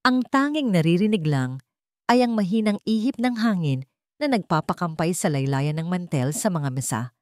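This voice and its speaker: A woman reads a dramatic audio story with measured, suspenseful phrasing. Her voice sits a little low and moves widely in pitch. The recording is dry and close. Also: expressive, darkish tone, dry recording. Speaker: story narrator. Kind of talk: dramatic scripted narration of a Tagalog audio drama.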